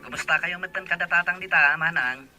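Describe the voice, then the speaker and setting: Actor as sincere young Ilocano suitor. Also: male voice, fairly high pitch, brisk, lo-fi sound, over music, close to an everyday voice. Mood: happy. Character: sincere working young suitor, tender and hopeful. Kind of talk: Ilocano radio-style serial drama acting.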